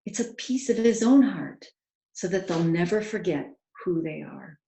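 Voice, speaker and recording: A woman's voice: on the low side, lively intonation, a little slow, vocal fry, mellow, slight background noise. A woman voicing a kindly, eager royal manservant in a storybook, urgent and earnest. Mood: gentle, earnest. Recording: roomy, echoing home recording.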